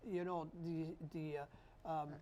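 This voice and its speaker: A man from Portugal, co-hosting a casual political chat and often pausing to search for words. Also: a little high, level tone, dark tone. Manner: halting, searching for words, repeats himself. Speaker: talk show co-host.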